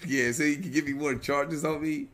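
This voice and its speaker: A man's voice: fairly high pitch, fairly even intonation, moderate pace, fairly bright tone, a little hiss or hum, close to an everyday voice. A man playing a cocky young gangster in an online role-play game, talking in slangy, sweary, joking street talk. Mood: amused, dismissive. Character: cocky young male gangster jailed, reassuring his woman.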